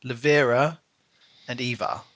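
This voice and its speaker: A man's voice: mid-range voice, a little slow, a bit bright, no room sound. A man co-hosting a casual book discussion podcast, talking in a rambling way and often rephrasing himself. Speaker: podcast co-host.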